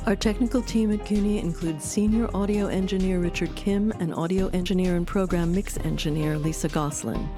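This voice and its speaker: A woman reading scripted narration as the host of a documentary podcast. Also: on the low side.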